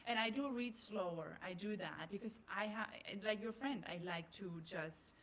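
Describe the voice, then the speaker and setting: A woman with a German accent, talking easily in a relaxed podcast chat. She restarts and reworks her sentences as she thinks aloud, in a voice of middling pitch, with faint noise under the recording. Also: normal speed. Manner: talks through ideas with frequent restarts and fillers. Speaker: podcast co-host. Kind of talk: casual chat.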